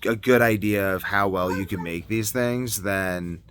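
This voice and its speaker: A man talking casually as a video blogger in a rambling monologue, halting and often trailing off mid-thought. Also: on the low side, fairly even intonation, a bit bright. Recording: noticeable background noise.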